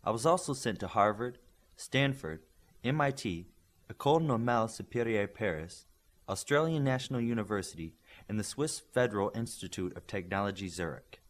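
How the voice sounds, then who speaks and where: A man's voice: on the low side, expressive, moderate pace, lightly acted. A man voicing a poised young female teacher in audiobook dialogue, formal, precise and self-assured.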